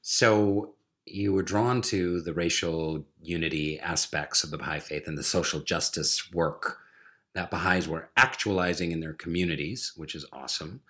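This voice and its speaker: A man's voice: sits low, normal speed, fairly bright tone. A man hosting a podcast interview, asking questions in a playful, encouraging way. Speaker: podcast host.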